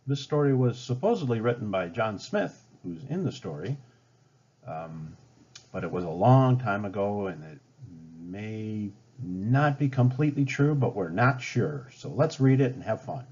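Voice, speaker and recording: Man, children's read-aloud, low husky voice, dull recording. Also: big pitch swings, unhurried, a little mellow. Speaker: storybook reader.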